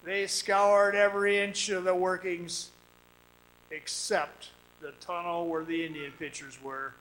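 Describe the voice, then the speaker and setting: A man playing a haunted, guarded miner in a radio drama, telling his ordeal in a folksy, halting way. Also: high-pitched, little pitch movement, a bit bright, rough recording. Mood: haunted, brooding. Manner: folksy, deliberate, halting storytelling.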